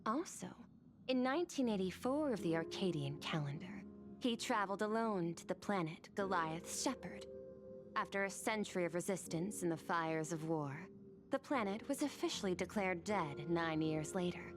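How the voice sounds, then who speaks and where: A woman's voice: on the low side, lively intonation, moderate pace, close to an everyday voice. A woman voicing a formal, analytical aide in a video game, precise and deferential as she reports. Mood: businesslike. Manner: precise, deferential, matter-of-fact. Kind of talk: scripted video game dialogue. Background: prominent music.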